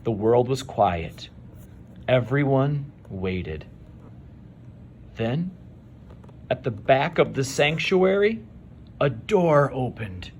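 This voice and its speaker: A man reading a children's story aloud, with measured delivery and dramatic pauses. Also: mid-range voice, animated, a little mellow, a little hiss or hum. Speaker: storybook reader. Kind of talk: expressive children's read-aloud narration.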